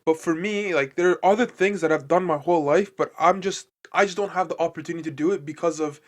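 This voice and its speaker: A man's voice: a little high, quick pace. A young man from Canada co-hosting a podcast, talking earnestly in a rambling way with plenty of fillers and restarts. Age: young adult. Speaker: podcast co-host. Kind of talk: casual chat.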